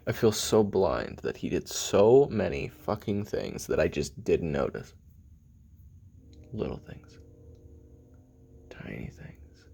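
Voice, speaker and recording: A man playing a weary, bitter, regretful assassin in an audio drama, confiding a halting memoir in a gravelly, husky voice that drops toward whispers. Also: big pitch swings, dark tone, full character voice. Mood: bitter, brooding. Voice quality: very deep, gravelly, husky, often breathy. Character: weary, bitter, regretful male assassin recounting his youth. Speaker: voice actor in an audio roleplay. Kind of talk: confessional taped memoir monologue, audio drama.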